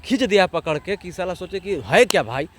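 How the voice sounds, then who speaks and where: A man's voice: high-pitched, expressive, brisk. A man from Jharkhand with a regional accent to match, giving blunt, exasperated opinions in colloquial speech as an interview guest, often through rhetorical questions. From Jharkhand, India. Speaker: interview guest, online channel owner. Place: outdoors in a town, near a road. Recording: clear outdoor street and traffic noise.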